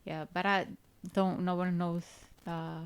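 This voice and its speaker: A middle-aged woman from Trinidad, chatting with her grown son about family in a relaxed, storytelling way. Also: on the low side, measured pace, clear, resonant tone. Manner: relaxed storytelling with frequent laughs. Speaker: mother being interviewed. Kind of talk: casual family chat.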